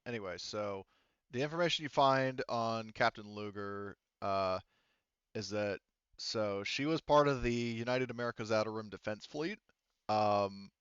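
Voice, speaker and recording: A man's voice: fairly low pitch, fairly bright tone, clean tone, no room sound, dull audio. A man game-mastering a tabletop roleplaying game in a casual, rambling way, often correcting himself midway through a thought. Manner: halting, rambling, self-correcting. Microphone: online voice call.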